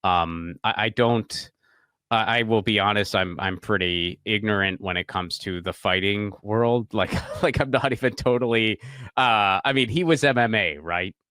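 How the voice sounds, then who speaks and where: Male co-host, lowish and crisp, self-deprecating stammerer, dry close mic. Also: normal speed, pushed and bright, no room sound. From Pittsburgh. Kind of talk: casual chat.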